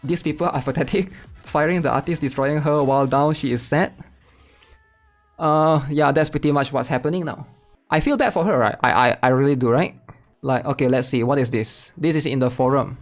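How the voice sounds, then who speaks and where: A man's voice: medium pitch, fairly quick, faint music behind. A man hosting a gaming channel, casually commenting while reading online posts aloud and often tagging sentences with "right?". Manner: chatty, tags sentences with "right?".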